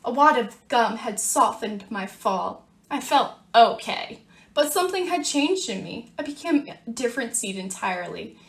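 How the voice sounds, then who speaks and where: A woman reading as a storybook sunflower seed that calls itself bad, in a dramatic, drawn-out confessional manner. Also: fairly high pitch, animated, gravelly, roomy sound. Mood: alarmed, then brooding.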